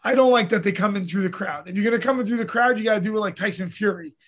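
High-voiced New man chatting. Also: rapid-fire. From New York. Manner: rambling, swears freely, acts out his own quotes. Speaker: podcast host, mixed martial arts fighter. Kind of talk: casual profane chat.

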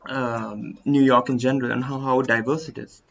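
A man's voice: medium pitch, steady tone, moderate pace, gravelly, fairly bright tone, a little hiss or hum. Teenage man hosting a podcast, lo-fi. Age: young adult, 19. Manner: eager, rambling, with restarts and laughs. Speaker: podcast host. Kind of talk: casual interview.

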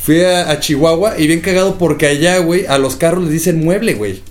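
A young man with a Guadalajara Mexican accent, chatting casually on a podcast, talking fast and swearing freely. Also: on the high side, faint music behind. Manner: rapid, slangy, swears a lot. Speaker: podcast co-host.

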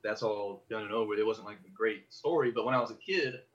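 An American man chatting casually on a podcast, telling personal stories in an animated, rambling, slangy way and swearing freely. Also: fairly low pitch, a little fast, lo-fi sound. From Los Angeles area, United States. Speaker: podcast host.

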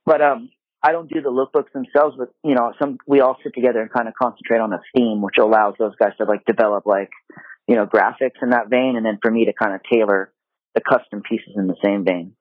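Man, fast relaxed chatter, grew up in Japan, thin phone-like sound. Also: mid-range voice, no echo. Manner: relaxed, talkative, lots of fillers. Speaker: guest, apparel designer. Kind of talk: casual interview conversation. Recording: dry, phone-like remote call audio.

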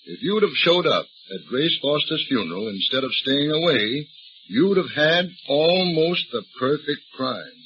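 A man's voice: a little high, sing-song, a little slow, husky, lo-fi sound, exaggerated voice. An actor playing a folksy, patient small-town American lawman who hesitates and insinuates, in old-time radio drama style. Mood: knowing.

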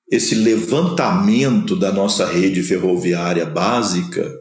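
A man from Minas Gerais, Brazil, with a Minas Gerais accent, gives an unhurried spiritual lesson in a rather low voice. He talks slowly and pauses between short phrases. The sound carries a noticeable room echo. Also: darkish tone. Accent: Brazilian Portuguese, Minas Gerais. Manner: slow, measured, pausing between phrases. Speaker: spiritual teacher. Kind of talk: spoken lecture on scripture.

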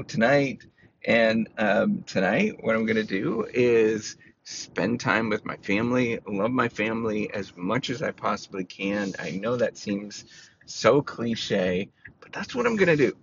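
A man talking through his feelings in a rambling personal monologue, full of fillers, with a voice he calls squeaky. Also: vocal fry, fairly bright tone, highs cut off, a little hiss or hum. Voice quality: says he has a squeaky voice. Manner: rambling, self-reassuring, many "you know" fillers.

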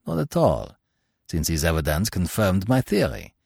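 A man puts on a vain, fussy detective of middle years who holds forth in a pompous, self-assured manner, his low voice swooping widely as he lectures. It is a storybook reading recorded close and dry. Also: wildly swooping pitch, moderate pace, creaky, darkish tone, breathy edge, dry recording, exaggerated voice. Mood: lecturing. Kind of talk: audiobook reading.

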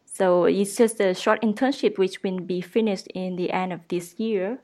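A woman's voice: mid-range voice, fairly even intonation, moderate pace, a little mellow. Encouraging woman interviewer. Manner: encouraging, frequent backchannel murmurs. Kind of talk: interview questions.

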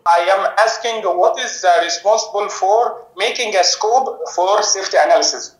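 A man's voice: high-pitched, moderate pace. A young man with an Egyptian accent, an engineer calling in to a podcast to ask a question. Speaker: guest caller, automotive safety engineer. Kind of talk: asking a question on a podcast call. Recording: roomy, echoey call audio.

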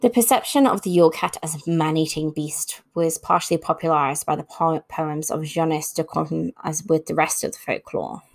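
A woman's voice: sits low, steady tone, moderate pace, vocal fry, hardly any pauses. A woman hosting an informal talk on folklore, reading from notes and adding casual asides. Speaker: host. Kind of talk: informal scripted narration about folklore.

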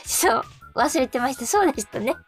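A woman's voice: high-pitched, lively intonation, brisk, slightly rough. A woman co-hosting a radio show, chatting casually with polite, agreeable short responses. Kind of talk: casual chat, brief supportive responses. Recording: loud music behind the voice. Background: background music.

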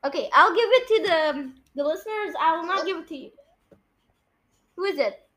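High-pitched bright young voice in casual chat. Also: lively intonation, normal speed. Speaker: podcast host. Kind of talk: casual chat, guessing game.

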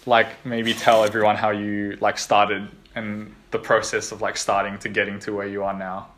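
A man's voice: lowish voice, completely flat delivery, normal speed, fairly bright tone. A man hosting a podcast, chatting loosely with a guest and leaning on filler words. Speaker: podcast host. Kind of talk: casual interview chat.

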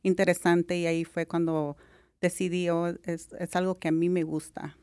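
A middle-aged woman with a Mexican accent, a nurse talking as a guest in a relaxed interview, often filling pauses with "am" and dropping in English words. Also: fairly low pitch, clean tone, no echo, clean studio sound. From Mexico (Jalisco). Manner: relaxed, many "am" fillers, mixes English words. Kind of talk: casual interview.

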